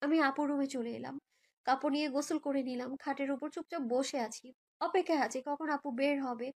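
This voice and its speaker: A woman's voice: sits high, fairly even intonation, moderate pace, darkish tone. A young woman narrates a romantic story in the first person, in an emotive, girlish way, as if living it. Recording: background music under voice.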